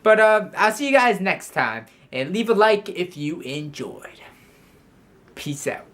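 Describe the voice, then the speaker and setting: A woman's voice: fairly low pitch, very animated, measured pace, a bit bright. A woman giving a comedic YouTube commentary, praising mockingly with a straight face and often stumbling over and restarting her words. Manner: mock-serious, ironic praise; frequent stammered restarts.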